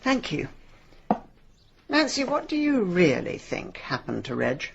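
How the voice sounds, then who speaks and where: An actress playing a brisk, tart, determined middle-aged city woman in a radio drama. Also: fairly low pitch, huge pitch swings, gravelly, lo-fi sound, background noise, exaggerated voice. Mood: probing.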